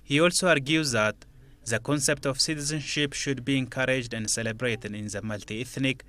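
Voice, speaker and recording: A man reads a scripted news report in a formal, even way. His voice is bright and forceful. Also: medium pitch, normal speed. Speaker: news narrator.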